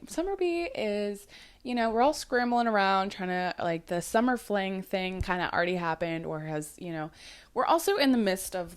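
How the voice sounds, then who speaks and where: Sleepy, chatty young woman podcaster. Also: fairly low pitch. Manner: chatty, lots of likes and ums, swearing. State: sleepy voice, just woke up. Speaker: podcast host and dating coach. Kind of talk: casual chatty podcast monologue.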